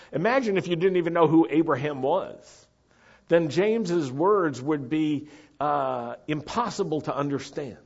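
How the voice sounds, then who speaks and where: A man's voice: a little high, gravelly. A man giving a teaching sermon to a live congregation, sometimes putting questions to the audience. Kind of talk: Torah-portion sermon. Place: meeting room with a live congregation. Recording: muffled lo-fi recording.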